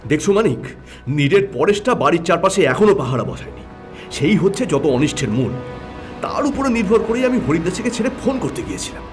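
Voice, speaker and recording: A man's voice: a little high, sing-song, fairly quick, over music. A man voicing a shrewd, decisive detective in an audio story, with a stern, assertive manner. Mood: annoyed. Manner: assertive, explanatory.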